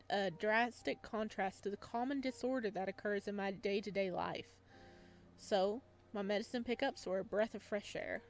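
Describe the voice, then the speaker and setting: A woman reading a visual novel aloud as a let's player, expressive and now and then stumbling over the words. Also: mid-range voice. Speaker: video game let's player.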